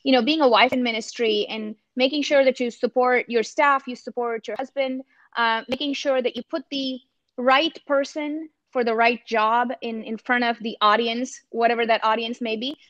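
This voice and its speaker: Earnest woman with an Indian accent, high and clear, on a slightly dull video call line. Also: fairly even intonation, normal speed, fairly bright tone, dry recording. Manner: earnest, thoughtful, frequent restarts. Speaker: campus ministry missionary. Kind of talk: reflective panel talk. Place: home room. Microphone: laptop webcam on a video call.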